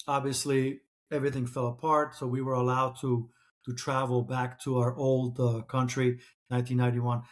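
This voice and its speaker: A middle-aged man who grew up in Czechoslovakia, a Czech native speaker, talking as an interview guest with frequent restarts and fillers. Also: medium pitch. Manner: hesitant, many restarts and fillers. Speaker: author and guest. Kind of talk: conversational interview.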